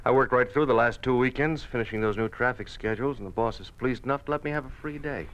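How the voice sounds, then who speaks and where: An actor playing an earnest, anxious husband and father in an old-time radio drama, gentle and a little hesitant. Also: medium pitch, fast, a little hiss or hum, lightly acted, poor audio. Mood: cheerful.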